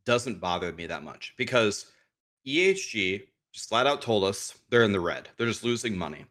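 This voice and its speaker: A man who is a guest on a podcast, talking casually and frankly while his voice is going hoarse. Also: on the low side, a bit bright. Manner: frank, thinks aloud with restarts. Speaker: guest streamer.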